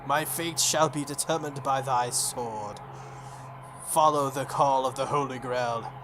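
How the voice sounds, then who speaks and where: Man playing a solemn, grandiose mage chanting slowly. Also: mid-range voice, steady tone, noisy background, lightly acted. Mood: solemn, commanding. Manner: slow, grandiose incantation. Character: solemn young female mage chanting a summoning spell. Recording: music behind the voice.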